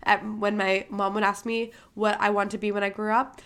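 Young woman, podcast host, motivational monologue, candid, emphatic, casual swearing, medium pitch. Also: little pitch movement, moderate pace, a bit bright. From Michigan, USA.

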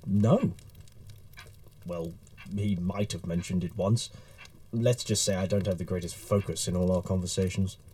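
A man acting in an audio drama as an earnest, dry, slightly awkward male Victorian writer, speaking in a formal, measured, wry way. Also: deep voice, fairly even intonation, dark tone. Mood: friendly, wry.

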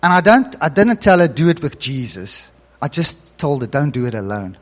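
A man's voice: fairly high pitch, sing-song, moderate pace. A male pastor whose first language is Afrikaans, telling a story in an earnest sermon that builds to emphatic preaching.

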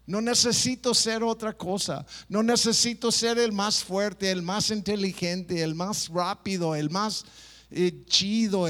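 A man's voice: high voice, fairly bright tone. A middle-aged man preaching a sermon, playful, mimicking people, with stop-start phrasing. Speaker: pastor.

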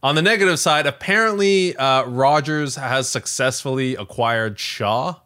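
A man with a Canadian accent and a bright, crisp-edged voice of middling pitch. He talks casually as a podcast co-host, feeling his way through sentences with plenty of hesitations and filler words. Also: moderate pace. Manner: hesitant, rambling, frequent "like" and "you know". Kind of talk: casual tech news chat.

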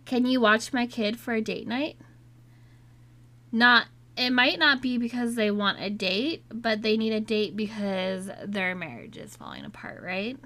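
A woman in her thirties talks at a relaxed, unhurried pace, her voice slightly raspy from a lingering cold. Her pitch sits in an ordinary middle range, and she keeps the talk chatty and candid. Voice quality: raspy at times. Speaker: podcast host. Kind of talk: casual solo talk.

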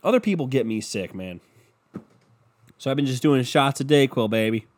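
A man's voice: medium pitch, lively intonation, normal speed. A stuffy-nosed young man with a sore throat, hosting a podcast alone and rambling in a loose, profane, joking way. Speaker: podcast host. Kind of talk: casual solo podcast rant.

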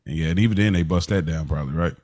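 A man's voice: low voice, fast, a little mellow, no room sound, studio quality. A man co-hosting a casual TV recap podcast with slangy, informal reactions. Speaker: podcast co-host.

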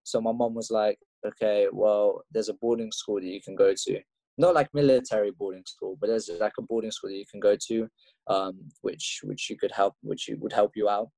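A man's voice: fairly low pitch, fairly even intonation, normal speed, creaky, warm tone, no echo, low-quality recording. A young man from Russia with an English accent, a fighter telling his story in a casual interview, rambling with lots of fillers and restarts. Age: young adult. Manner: rambling, laid-back, many fillers and restarts. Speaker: MMA fighter guest.

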